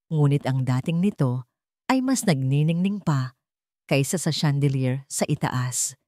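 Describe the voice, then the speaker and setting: A woman's voice: deep voice, a little mellow, no room sound, clean studio sound. A woman with a Filipino accent narrating a scripted romantic drama story in a dramatic storytelling style.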